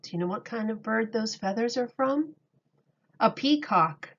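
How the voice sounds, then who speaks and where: A woman reading a picture book aloud to children like a teacher, deliberate and expressive. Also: a little high. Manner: deliberate, expressive, explains words for young children. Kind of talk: read-aloud storybook narration. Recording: dull lo-fi recording.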